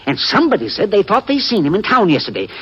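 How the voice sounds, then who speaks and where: A dull old radio recording carries a husky, high-voiced frontier man with a Western drawl. His pitch swoops as he stammers, repeats himself and wheedles, a nervous townsman forever excusing himself. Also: wildly swooping pitch, fast, fairly bright tone, full character voice. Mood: startled, incredulous. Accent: American Western drawl. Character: nervous, folksy old West vigilante townsman, pleading, self-justifying. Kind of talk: 1950s radio western acting.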